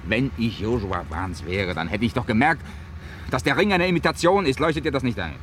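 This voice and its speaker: A man's voice: medium pitch, animated, brisk, a bit bright, a little hiss or hum, natural voice. An actor playing an insistent, defiant man on the run in a radio crime play. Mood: urgent protest.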